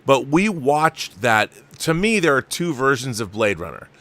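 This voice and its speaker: A man's voice: mid-range voice, sing-song, measured pace, fairly bright tone. A middle-aged man hosting a casual fan interview, with an enthusiastic, opinionated way of talking. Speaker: show host.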